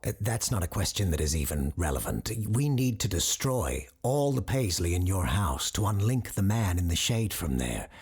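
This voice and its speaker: A very husky, low voice from a woman acting as a grave occult expert on a supernatural radio show. The delivery is measured and scholarly, with an ominous edge and wide swings in pitch, in audio drama style. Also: big pitch swings, a little mellow, full character voice. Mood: measured, serious. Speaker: radio call-in show host.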